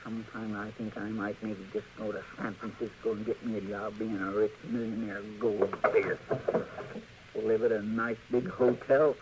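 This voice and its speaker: An actor playing a lazy, dreamy frontier deputy with a rural American drawl in a radio western, folksy and hemming. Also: male voice, lowish voice, little pitch movement, normal speed, natural voice. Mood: folksy, self-important. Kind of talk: 1950s radio western acting. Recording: dull, noisy old radio recording.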